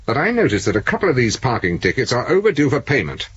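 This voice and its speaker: A British senior police officer with a very husky, highly animated voice. He is wry, clipped and commanding, in the manner of 1970s radio drama. The broadcast sounds slightly muffled. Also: quick pace, heavily acted. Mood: dryly authoritative.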